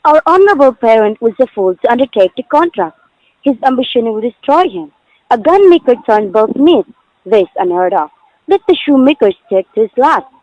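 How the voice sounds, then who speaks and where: A woman's voice: fairly high pitch, sing-song, moderate pace, dry recording. A woman playing a scornful, vain young daughter of a mandarin in an amateur drama, haughty and mocking. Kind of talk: amateur stage-play acting. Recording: dull lo-fi, dry recording.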